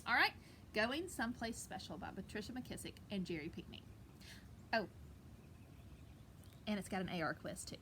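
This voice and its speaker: A woman's voice: medium pitch, big pitch swings, moderate pace, long pauses, sharp tone, a little hiss or hum. A woman teacher talking and reading to young pupils in a casual, folksy, encouraging storytime manner, with explanatory asides. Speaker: elementary school teacher reading aloud to pupils. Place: outdoors on her back porch. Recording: outdoor home recording with background ambience.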